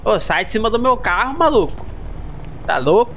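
A man's voice: sits high, sing-song, moderate pace, a loud crowd. A young Brazilian man chatting casually and playfully in slang while streaming a role-play game. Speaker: gaming streamer. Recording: music under the voice.

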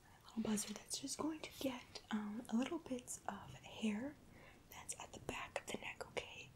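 A young woman whispering gently and breathily in a reassuring, softly hesitant ASMR hairdresser roleplay, close to the microphone. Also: on the high side, normal speed. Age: young adult. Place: indoor room at home.

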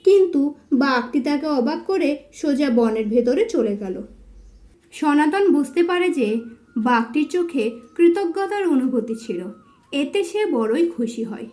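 A woman with a high, mellow voice narrates a scripted fairy tale. She tells it as a storyteller for a cartoon story, keeping a soft, rounded tone. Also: expressive, measured pace.

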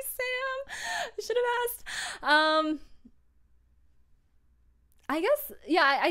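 A young woman hosts a casual livestream from a small room at home. Her voice sits at an average pitch with a clean, smooth tone. She talks in a chatty, rambling way, speaking informally to her viewers. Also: a bit bright. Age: young adult. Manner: chatty, rambling, giggly, apologetic. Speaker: livestream host, audio drama creator.

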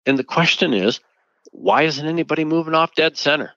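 Emphatic man with a bright, forceful voice. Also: a little high, animated, a little fast, no room sound, highs cut off. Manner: emphatic, rising to indignant rhetorical questions. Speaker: podcast co-host, aviation safety investigator. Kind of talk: conversational podcast discussion.

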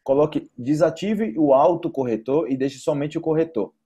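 Young Brazilian man, husky higher-pitched voice. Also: normal speed, warm tone. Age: young adult. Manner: explanatory, repeats points for emphasis. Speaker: Portuguese teacher. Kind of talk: informal one-to-one online tutoring. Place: indoor room, video call.

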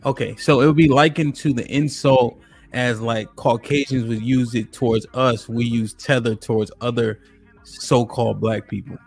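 A man's voice: mid-range voice, steady tone, moderate pace, gravelly, a little mellow, slightly rough, soft background music. A man hosting a live stream talk show from home into a studio mic, asking questions and prompting his guest. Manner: questioning, short acknowledgements. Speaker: host. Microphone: studio mic on a boom.

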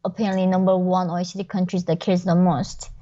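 A woman's voice: fairly low pitch, flat delivery, dark tone, muffled recording. A young woman with a Korean accent, speaking English as a second language, telling her personal story in an interview over a video call from her kitchen. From North Korea. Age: young adult. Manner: fast, emphatic, frequent "like" fillers. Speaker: guest. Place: home kitchen. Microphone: wired earbuds on video call.

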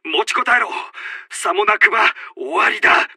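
An actor playing a commanding, urgent Japanese army officer who barks out short battle announcements in a military video game. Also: male voice, high voice, expressive, normal speed, sharp tone, breathy edge, dry recording, clean studio sound, exaggerated voice. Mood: urgent, desperate.

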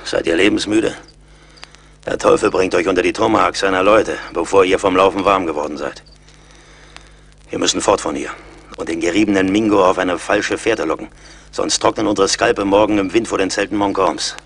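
An actor playing a seasoned, blunt and mocking frontier scout in a radio play, with a wry, commanding manner. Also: male voice, low voice, fairly even intonation, normal speed, vocal fry, fairly bright tone, breathy edge, full character voice. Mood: urgent, grim. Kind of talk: German radio play acting.

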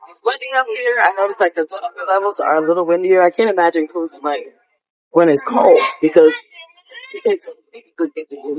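A woman's voice: fairly low pitch, breathy edge, rough recording. A young woman vlogging casually to her phone while lying outdoors on a windy cruise ship deck, chatty and slangy. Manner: chatty, slangy, laid-back. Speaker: travel vlogger. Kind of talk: casual chat to camera. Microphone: phone held at arm's length.

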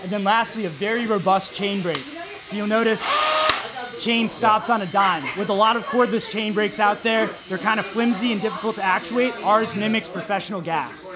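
A man in his thirties at a trade show, confidently walking through product features in a fluent sales pitch. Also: moderate pace, over a noisy crowd. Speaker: product representative.

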